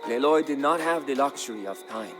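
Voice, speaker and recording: A man's voice: medium pitch, expressive. Solemn male narrator over music. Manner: measured, solemn storytelling. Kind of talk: scripted dramatic historical narration. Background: background music.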